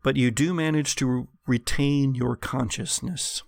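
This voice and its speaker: An American man working as game master, narrating and ruling on a tabletop game in a measured, descriptive way with deliberate pauses. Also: mid-range voice, fairly even intonation, creaky, dry recording, studio quality. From United States. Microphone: close studio microphone.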